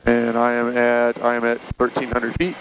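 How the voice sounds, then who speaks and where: A man's voice: mid-range voice, monotone, normal speed, rough recording. A man flying a small plane, calling out checklist items during a landing, a little nervous, with engine noise around. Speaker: student pilot flying. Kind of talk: cockpit talk during landing. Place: small plane cockpit in flight.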